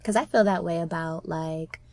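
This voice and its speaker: Lowish woman podcast host, casual reflective talk, kinda emphatic, really dry sound no echo. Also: normal speed, darkish tone.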